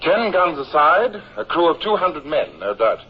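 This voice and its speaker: Brisk, higher-voiced naval lieutenant. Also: male voice, very animated, breathy edge. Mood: brisk, businesslike. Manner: clipped, methodical briefing. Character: brisk, orderly first lieutenant of a navy frigate. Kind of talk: 1950s radio drama acting. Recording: muffled old radio recording.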